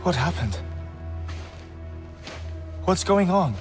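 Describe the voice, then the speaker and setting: A man's voice: high voice, sing-song, very slow, full character voice. A man playing a grieving, middle-aged scientist in a video game cutscene, melancholic and articulate. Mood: desperate, pleading. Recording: cinematic music behind the voice.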